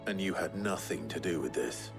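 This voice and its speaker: A low-voiced man as a stoic hero in a fantasy video game. He speaks in short, measured, earnest phrases. Music is clearly audible behind him. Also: expressive. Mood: weary, patient. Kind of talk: cinematic video game acting.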